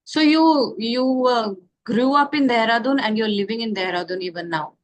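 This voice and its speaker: A woman's voice: a little high, moderate pace, poor audio. A woman speaking fluently and encouragingly as a partner in a casual English conversation.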